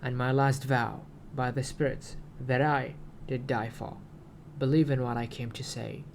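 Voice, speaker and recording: A man voicing a solemn alien prophet who makes grandiose, halting proclamations in archaic words, as in a storybook reading. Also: medium pitch, flat delivery, darkish tone, slight background noise, lightly acted.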